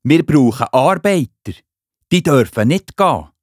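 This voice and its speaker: Man playing a stubborn Pharaoh, wildly swinging pitch. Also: lively intonation, measured pace, breathy edge, dry recording, clean studio sound. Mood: regretful, commanding. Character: stubborn, agitated male Egyptian ruler. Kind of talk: children's Bible audio drama.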